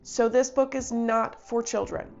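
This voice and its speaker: A woman's voice: on the high side, steady tone, a little slow, creaky, darkish tone, highs cut off, soft background music. Stuffy-voiced woman reviewing a book. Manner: chatty, enthusiastic, thinks aloud. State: stuffy from a cold. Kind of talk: casual book review talk.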